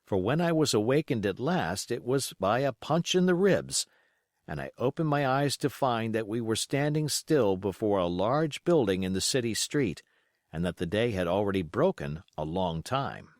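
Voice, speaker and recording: A man reading a classic adventure novel aloud as an audiobook, in an expressive, measured storytelling delivery. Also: on the low side, no room sound, studio quality. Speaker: audiobook reader.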